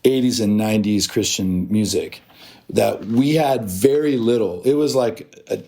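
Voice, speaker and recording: A man's voice: fairly low pitch, measured pace. A man in his fifties from Oregon, a podcast guest telling stories in a loose, rambling way with many restarts. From Oregon, USA. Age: 50s. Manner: rambling, animated storytelling with restarts. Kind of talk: casual chat.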